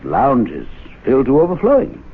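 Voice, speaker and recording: An actor playing a genteel, wry, probing gentlemen's club president in a 1970s radio drama. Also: male voice, mid-range voice, huge pitch swings, a little slow, slight background noise. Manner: urbane, probing, ornate phrasing. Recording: tinny old radio broadcast.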